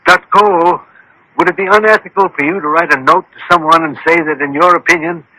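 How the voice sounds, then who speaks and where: An actor playing a commanding, precise scientist-detective in a radio drama, speaking formally and with authority. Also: male voice, a little high, fairly even intonation, quick pace, pushed and bright, poor audio. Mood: businesslike. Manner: authoritative, formal, giving crisp orders. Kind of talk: 1970s-80s radio drama acting.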